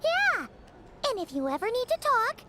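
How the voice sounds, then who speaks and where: A woman voicing a tiny, excitable and cheeky fairy companion with a childlike manner in a video game. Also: very high voice, normal speed, background noise, heavily acted. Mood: cheerful, supportive. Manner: refers to self in third person, gushing exclamations. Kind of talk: video game character acting. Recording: game dialogue over loud tinkling music. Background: loud playful game music.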